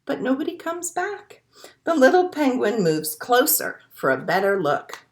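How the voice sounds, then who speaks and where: A woman's voice: sits high, big pitch swings, a little slow. A woman leading a children's storytime as a librarian, reading picture books and rhymes aloud in simple, encouraging phrases. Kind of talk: animated read-aloud storytime for young children.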